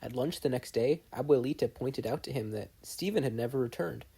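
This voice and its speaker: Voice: mid-range voice, fairly quick, creaky, dark tone, husky. A narrator reading fan fiction aloud in a plain storytelling manner. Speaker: podfic reader and narrator.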